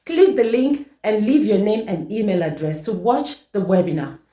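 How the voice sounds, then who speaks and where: A woman's voice: medium pitch, expressive, moderate pace, dark tone, roomy sound. A woman from Nigeria, an online business mentor, hosting a promotional video in an upbeat, persuasive way. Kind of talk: promotional testimonial address.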